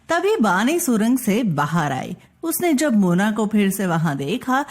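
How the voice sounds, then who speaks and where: A woman's voice: mid-range voice, husky. A woman reading a scripted children's bedtime story as narrator.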